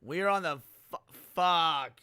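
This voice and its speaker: A man's voice: a little high, unhurried, sharp tone, smooth, dry recording. A man hosting a livestream, giving casual reaction commentary full of swearing and slang. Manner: profane, slangy. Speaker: livestream host. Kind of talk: casual live reaction commentary to horror series.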